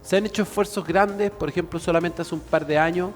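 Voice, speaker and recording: A man's voice: high-pitched, steady tone, fairly quick, faint music behind. Earnest man, reverberant studio. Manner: earnest, speechlike, with many fillers.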